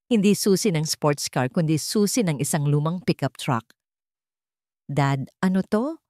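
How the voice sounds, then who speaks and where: A woman narrates scripted romance fiction as a dramatic storyteller. Her pitch sits on the low side, and the recording is dry and free of room sound. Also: lively intonation, normal speed, warm tone, dry recording.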